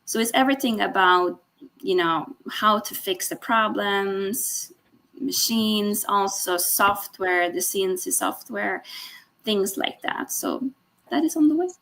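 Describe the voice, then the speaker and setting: A woman's voice: medium pitch, expressive, measured pace, a bit bright, rough recording. A woman, a guest on a video call, speaking hesitantly with many fillers and frequent laughs. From Sweden. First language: Swedish. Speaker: guest, sales director. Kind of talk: casual interview.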